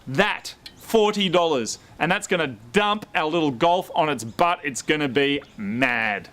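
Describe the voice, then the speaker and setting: A man's voice: on the high side, lively intonation, measured pace, fairly bright tone. An Australian man presenting a car show casually to camera in blokey, slangy talk. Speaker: host of a car modification show.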